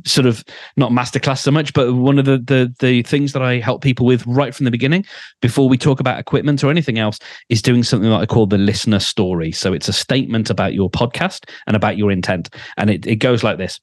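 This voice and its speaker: A man's voice: medium pitch, quick pace, no echo, studio quality. A man, a podcast mentor, explaining thoughtfully with many fillers. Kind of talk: advice in a podcast conversation.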